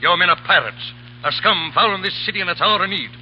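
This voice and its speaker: An actor playing a blunt, fiery general in a 1930s radio drama, talking in a gruff, commanding way. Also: male voice, high voice, quick pace. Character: stern older male general, blunt and fiery. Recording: muffled old radio broadcast with hiss.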